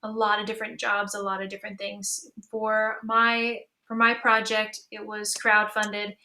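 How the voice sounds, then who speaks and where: Bright-voiced woman in casual panel chat. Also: mid-range voice, monotone, a little slow. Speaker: musician and audio drama creator on a panel.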